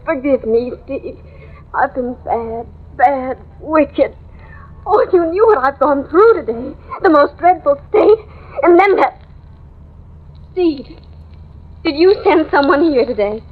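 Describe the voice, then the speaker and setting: A terrified housewife in an old radio thriller, her voice very high and tearful. She speaks in halting, breathless pleas, full of paranoid dread. The recording is old and muffled. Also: lively intonation. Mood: shaky relief. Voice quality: shrill, tearful. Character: frantic, paranoid young housewife, terrified of a killer. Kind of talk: 1950s radio horror melodrama acting.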